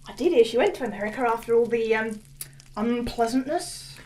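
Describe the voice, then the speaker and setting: A woman's voice: fairly high pitch, animated, brisk, darkish tone, lightly acted. A woman playing a motherly, pushy village pub landlady with an English accent in a comic audio drama. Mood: nosy, shocked. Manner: chatty, doting, insistent, folksy.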